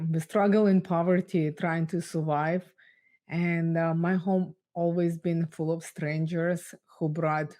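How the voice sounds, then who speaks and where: A woman with a Russian accent speaks low and unhurried. She tells her story earnestly, pausing often. Russian is her first language. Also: dark tone. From Ukraine. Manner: earnest, measured storytelling with pauses. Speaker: guest speaker. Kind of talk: personal testimony in an interview.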